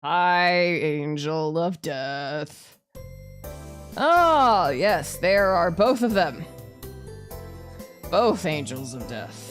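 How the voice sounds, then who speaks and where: A woman's voice: lowish voice, sing-song, very slow, long pauses, faint music behind. A woman streamer playing a visual novel live, reading the narration aloud and adding playful, casually sweary asides. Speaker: streamer, virtual YouTuber.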